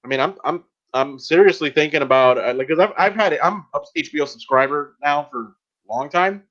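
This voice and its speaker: A man's voice: a little high, fairly quick, fairly bright tone. A man co-hosting a podcast in casual chat, recorded with slightly dull, lo-fi sound. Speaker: podcast co-host.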